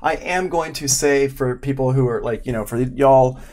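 A man's voice: mid-range voice, normal speed, a little mellow. A man in his forties giving an informal live online tutorial as an audio instructor, explaining step by step in a casual, conversational way. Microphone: external microphone at a desk, with headphones.

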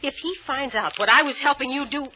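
A woman's voice: high-pitched, fast talker, lightly acted. An actress playing a guilty, hard-drinking, bitter bad girl in an old-time radio drama, with a volatile, emotional delivery. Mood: frantic. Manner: emotional, swinging from nervy pleading to bitter relish. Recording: muffled, noisy old radio recording.

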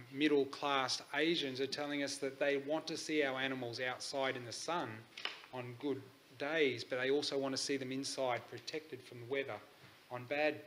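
An Australian man speaks at a conference, his voice sitting at an ordinary middle pitch. He talks in a relaxed, halting way, filling pauses with ums. A faint hum of background noise sits under the recording. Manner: hesitant, many ums. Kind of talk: conference presentation. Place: conference hall.

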